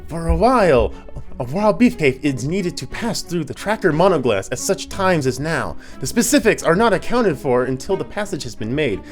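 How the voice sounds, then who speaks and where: A man voicing an earnest old candy maker in a comic epic story, grandiose and halting. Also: on the high side, very animated, faint music behind. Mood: earnest persuasion.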